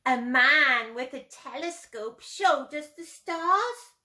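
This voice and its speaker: A woman voicing an excitable little baby boy owl in a children's storybook reading. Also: high-pitched, takes it slowly, heavily acted. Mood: excited. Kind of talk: children's storybook read-aloud. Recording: roomy echo.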